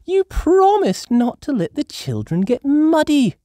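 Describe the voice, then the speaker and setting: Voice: high-pitched, big pitch swings, a little slow, warm tone, dry recording, studio quality, voice pushed up. A storyteller voicing a fussy, exasperated mother pig in a children's storybook. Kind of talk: children's storybook read aloud.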